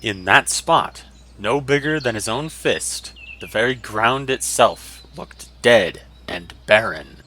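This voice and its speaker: A man's voice: medium pitch, slow, bright, forceful tone, slight background noise. A man narrating a fantasy story in a dramatic, scripted audiobook style.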